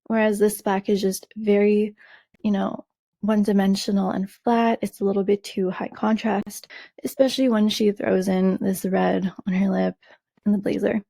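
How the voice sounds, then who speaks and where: Raspy woman explaining color styling. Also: medium pitch, level tone, warm tone, no room sound. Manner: measured, friendly explaining with fillers and restarts. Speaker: color analysis host. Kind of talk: explaining over a slideshow.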